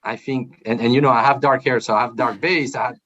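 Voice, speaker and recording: A man's voice: medium pitch, fairly even intonation, fast talker, sharp tone. A man from France with a French accent, a guest telling his story in a hesitant way with many "uh" fillers. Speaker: guest, brand manager. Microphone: video call. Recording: muffled video call audio.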